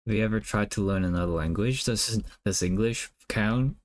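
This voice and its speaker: Male streamer, Cantonese native, low voice, soft casual musing, lots of fillers. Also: moderate pace, no echo, studio quality. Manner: soft, musing, thinks aloud with many fillers. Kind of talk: casual livestream chat while drawing.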